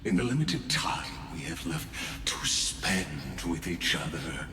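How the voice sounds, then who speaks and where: Very slow, deep, theatrical imperious genius. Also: male voice, very animated, background noise, exaggerated voice. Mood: stately. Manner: theatrical, deliberate, drawn-out pauses. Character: grand, theatrical ancient genius, cryptic and imperious. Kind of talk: dramatic video game voice acting. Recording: echoing voice under loud music.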